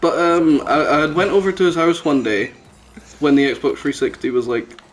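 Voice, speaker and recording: A man's voice: a little high, fairly even intonation, normal speed, slight background noise, poor audio. A man chatting casually as a podcast host on a headset, telling jokey, sweary anecdotes. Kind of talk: casual chat. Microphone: headset microphone.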